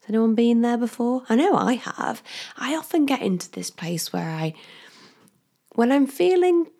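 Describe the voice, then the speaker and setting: A woman hosting her own podcast as a career coach, talking in a chatty, upbeat way straight to the listener and laughing often, her voice still slightly croaky from a cold. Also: fairly high pitch, lively intonation, moderate pace, warm tone. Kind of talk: casual solo podcast monologue.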